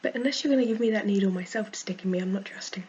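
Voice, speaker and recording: Female vlogger, croaky from a cold, frank and exasperated, laughs a lot; slightly dull, faintly noisy recording. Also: medium pitch, racing, a little mellow. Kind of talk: casual vlog rant.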